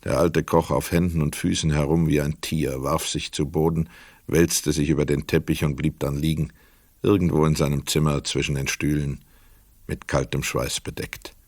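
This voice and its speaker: A man narrating a literary crime radio play as a sober storyteller, measured, precise and dramatic. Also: rumbling low, flat delivery, darkish tone, no echo, clean studio sound.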